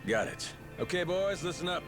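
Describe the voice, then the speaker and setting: An actor playing a sly, commanding yakuza boss turned pirate captain in a video game, blunt and sardonic. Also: male voice, high-pitched, big pitch swings, normal speed, over music. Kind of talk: dramatic video game voice acting.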